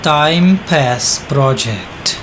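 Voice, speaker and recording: A man with a Brazilian accent narrating a scripted audio drama as a deliberate storyteller who addresses listeners. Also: medium pitch, lively intonation, a bit bright. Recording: voice over a music bed.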